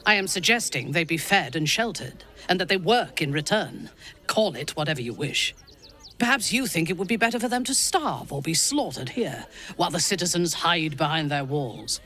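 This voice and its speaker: Blunt outsider, bright forceful voice. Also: male voice, a little high, lively intonation, normal speed. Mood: indignant, arguing. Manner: formal, blunt, argumentative. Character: stern outspoken outsider arguing for hard pragmatism. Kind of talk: video game acting.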